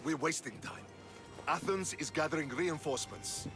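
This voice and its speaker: An actor playing a proud, battle-worn Spartan soldier in a video game, terse and guarded. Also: male voice, a little high, moderate pace, over music, natural voice. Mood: reproachful, urgent. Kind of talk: cinematic game acting.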